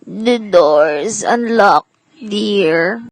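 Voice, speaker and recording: Woman as a creaky, raspy, slow old granny. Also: mid-range voice. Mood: kindly, wary. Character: stern but kindly old granny. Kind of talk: amateur radio drama acting.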